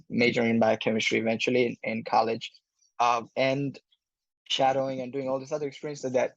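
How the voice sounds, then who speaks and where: A young man from Bangladesh, an incoming medical student, answering interview questions thoughtfully with many pauses and fillers. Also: medium pitch, gravelly, dry recording, muffled recording. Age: young adult.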